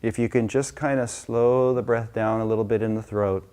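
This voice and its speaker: Male meditation teacher, warm mellow tone, slightly low pitch, slow and gentle off-the-cuff guidance. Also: fairly even intonation.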